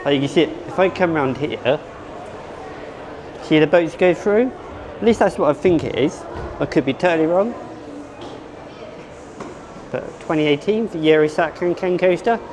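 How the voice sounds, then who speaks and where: A man vlogging at a theme park, rambling and unsure of himself, hoarse as he is losing his voice. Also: a little high, moderate pace, pauses often, background noise. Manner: rambling, self-correcting, unsure. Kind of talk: casual vlog commentary.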